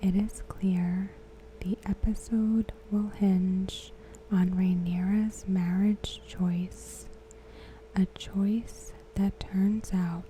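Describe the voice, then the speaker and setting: A woman reads aloud softly in an ASMR style, in a hushed, breathy whisper with short phrases and long pauses. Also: mid-range voice, slight background noise, poor audio. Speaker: ASMR host.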